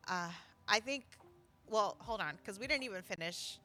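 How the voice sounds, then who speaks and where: A woman's voice: medium pitch, animated, moderate pace, sharp tone. A woman co-hosting a casual talk show, effusive and affirming, often circling back to rephrase as she searches for words. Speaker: podcast co-host. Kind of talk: casual, reflective conversation.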